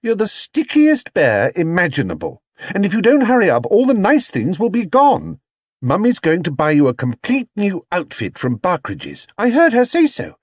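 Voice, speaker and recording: Guy doing a bossy excited young girl from a kids book, high pitched, super animated. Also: normal speed, no echo, studio quality, heavily acted. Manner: eager, bossy. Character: lively, bossy but fond young girl. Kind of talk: children's storybook character voice.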